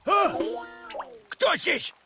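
A performer playing an excitable, easygoing animal friend in a children's cartoon, with a comically dramatic, exclamatory delivery. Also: drawn-out words, very noisy. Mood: sleepy, reluctant. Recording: music behind.

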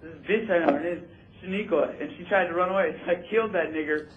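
A young man with a slightly high voice calls in over a dull, noisy phone line. He talks casually and haltingly, like a prank caller. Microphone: telephone.

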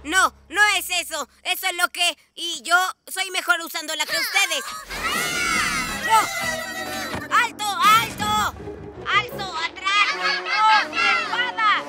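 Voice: very high-pitched, slow, long pauses, crowd noise. A performer voicing a boastful, petulant boy ninja villain in a Spanish-dubbed cartoon, theatrical and whiny. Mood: indignant. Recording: loud music behind the voice.

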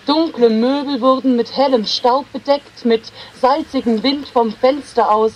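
A woman with a high voice reads poetry aloud at a slow pace. Her phrasing is measured and deliberate, as in a literary reading, with space left between the short lines. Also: fairly even intonation, a little mellow, lo-fi sound, slight background noise. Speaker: poetry reader.

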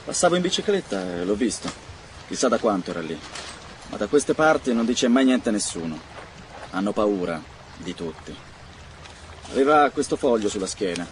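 A man's voice: lowish voice, big pitch swings, moderate pace, pauses often, a little hiss or hum. An actor playing a plain, uneasy policeman giving a report in a radio drama.

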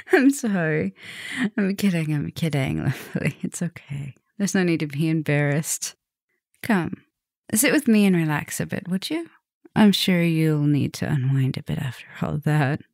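A woman playing an affectionate, teasing, dominant vampire professor in a soft, intimate ASMR roleplay. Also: low voice, very animated, a little slow, creaky, no room sound, clean studio sound, exaggerated voice. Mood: flirtatious, teasing.

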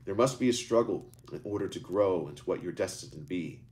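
Man doing a chill old wizard voice, deep, fatherly, takes his time. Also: lively intonation, fairly quick, darkish tone. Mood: wise. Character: ancient male wizard, calm and wise.

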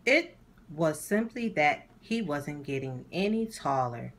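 A woman hosting a children's read-aloud, narrating a storybook in a deliberate, animated way for young listeners. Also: sits low.

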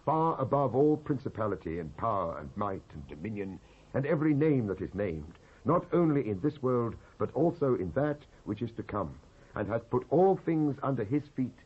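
A man's voice: mid-range voice, breathy edge. A British man giving a solemn, declamatory dramatised reading from the Bible. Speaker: Bible reader. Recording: muffled old cassette transfer.

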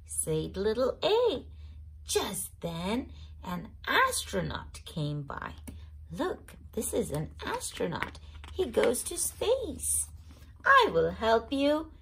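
A woman reading a children's picture book aloud as the channel's host, slowly and with clear stress on each word. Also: high voice. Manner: slow, clearly stressed storytelling.

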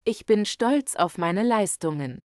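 A woman slowly reading German example sentences for learners, carefully enunciated and repeated. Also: mid-range voice, lively intonation, a little mellow, no room sound, studio quality. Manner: carefully enunciated, each phrase read twice. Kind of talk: slow, clear model sentences for learners.

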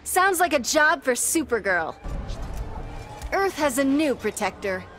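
A woman's voice: sits high, sing-song, a little slow, over music. A woman playing a plucky, defiant young superheroine from another planet, cheeky and confident in video game fight banter. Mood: determined.

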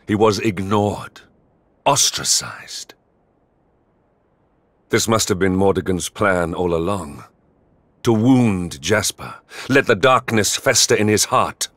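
An actor playing a stern, remorseful knight general in a video game, speaking formally and gravely. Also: male voice, sits low, dry recording. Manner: formal, solemn, grave delivery. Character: stern, remorseful male knight general, mature, noble and brooding. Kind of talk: video game cutscene acting.

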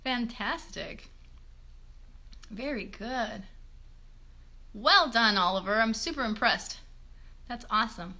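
A woman in her thirties with a fairly high, bright voice. She talks to children as a show host, reassuring them and offering plenty of encouragement. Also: slow pace, long pauses. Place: bedroom. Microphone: phone a short distance away.

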